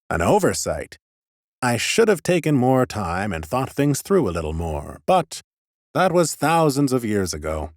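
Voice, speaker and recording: An ancient male lich, acted by a man, narrating a fantasy audiobook. He is low-voiced, precise and self-important, with lively swoops of pitch as he reasons like a curious scholar. The sound is close and dry. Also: no room sound. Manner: precise, pompous, musing. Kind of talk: first-person audiobook character narration.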